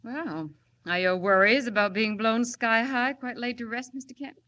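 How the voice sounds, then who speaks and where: A bold, scornful female reporter in 1940s radio style. Her voice is high and bright, with a forceful, cutting edge. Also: moderate pace, dull audio. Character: sharp-tongued, scornful, bold woman newspaper reporter.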